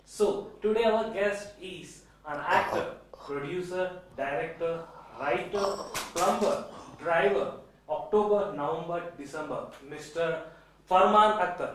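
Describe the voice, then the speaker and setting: A man's voice: high voice, measured pace, fairly bright tone. A man hosting a comic parody interview, teasing his guest with mock-formal questions. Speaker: comic talk-show host. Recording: echoey room, far from the mic.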